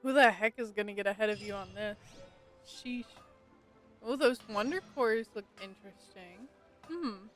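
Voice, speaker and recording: A woman's voice: medium pitch, normal speed, soft background music. A woman giving casual live gameplay commentary as a streamer, informally thinking aloud. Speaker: game streamer.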